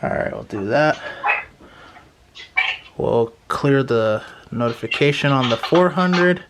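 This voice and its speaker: A man's voice: medium pitch, animated, takes it slowly, background noise. A man chatting casually as a radio hobbyist about his equipment, recorded at home. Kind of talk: casual chat about weather radio receivers.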